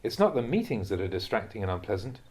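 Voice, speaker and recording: A man reading a translated Buddhist talk aloud as a monk would teach, in a measured, instructive manner. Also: lowish voice, big pitch swings, a little mellow.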